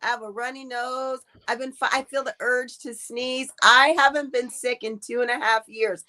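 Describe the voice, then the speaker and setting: A woman's voice: on the high side, steady tone, moderate pace, sharp tone. A woman co-hosting a casual talk show, chatty and playful, with a cold. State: has a cold.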